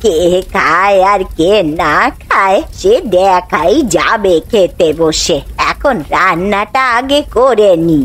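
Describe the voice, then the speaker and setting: A woman's voice: medium pitch, takes it slowly, few pauses, a bit bright. A woman voicing a caring, decisive village aunt in cartoon dialogue, homely and affectionate. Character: brisk, caring aunt who cooks for the family.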